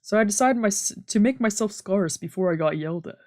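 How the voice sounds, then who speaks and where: A woman streamer reading visual novel narration aloud, plain and steady, with playful asides. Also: mid-range voice, quick pace.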